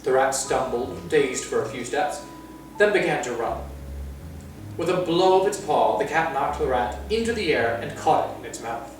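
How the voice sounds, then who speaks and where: Casting: expressive man narrating a children's tale, varied intonation, distant and roomy recording. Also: medium pitch, moderate pace, a little hiss or hum. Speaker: storyteller. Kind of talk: expressive children's story reading. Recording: very distant, echoey room.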